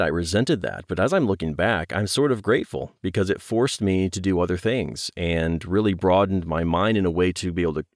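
A man's voice: deep voice, dry recording, studio quality. A man speaking as an interview guest and audio producer, conversational, earnest and reflective in manner.

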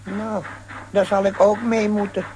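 An actor playing a grumbling, scheming villain who mutters to himself in a children's audio drama, with a husky voice. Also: male voice, sits high, little pitch movement, unhurried, darkish tone, rough recording.

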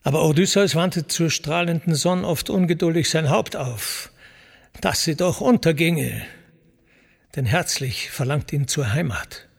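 A man's voice: fairly high pitch, a little slow, gravelly, husky. A man reciting a classical epic in verse for a radio play, solemn and declamatory, as its narrator. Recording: radio production with loud music underneath. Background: loud music.

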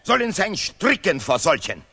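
An actor playing a pompous, sanctimonious male laureate who declaims vehemently in deliberately broken grammar in an absurdist radio play. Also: high voice, sing-song, creaky, sharp tone, breathy edge, full character voice. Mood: hateful, accusing.

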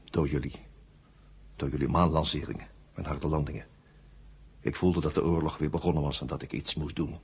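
A man playing an ancient, worn-out war machine brain in a 1970s radio drama, weary and forgetful, in flat, halting, repetitive statements. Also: a bass voice, husky, full character voice. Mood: weary, explaining. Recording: muffled old radio drama recording.

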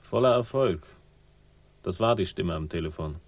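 A man's voice: low-pitched, expressive, moderate pace. An actor playing a hard-boiled investigator in a radio crime drama, clipped, dry and sardonic as he narrates and talks. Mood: terse. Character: terse, hard-boiled male investigator telling his own story. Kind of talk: first-person noir narration and radio drama acting.

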